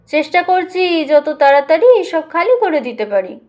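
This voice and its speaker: A woman's voice: extremely high pitch, big pitch swings, moderate pace, darkish tone, pitched above the performer's own voice. A woman voicing an aggrieved, uneasy man in a family quarrel, curt and defensive, in an audio story. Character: aggrieved adult brother-in-law, uneasy, worn down by quarrel.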